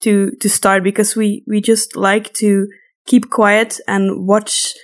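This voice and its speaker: A woman's voice: mid-range voice, level tone, slow pace. A woman from Flanders with a Flemish accent, a native Dutch speaker, chatting casually and self-deprecatingly as a podcast host answering listener questions. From Flanders, Belgium. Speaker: podcast host and audio drama voice actor.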